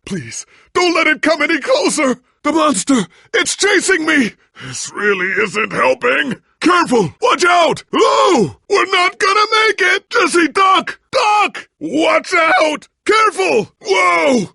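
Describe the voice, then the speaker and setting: A proud warrior hero from a video game, with a gravelly, creaky voice that swings wildly in pitch. He speaks in grand, formal phrases, earnest and theatrical, in a very dry, close recording. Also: sing-song, slow, pushed and bright, dry recording, raised voice, full character voice. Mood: panicked shouting. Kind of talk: dramatic video game character acting.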